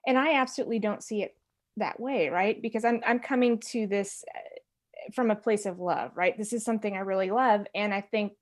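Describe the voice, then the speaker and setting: A woman's voice: mid-range voice, a little fast. A woman, a guest on a radio talk show, speaking in a reflective, conversational way. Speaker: guest, researcher. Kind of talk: radio interview answers.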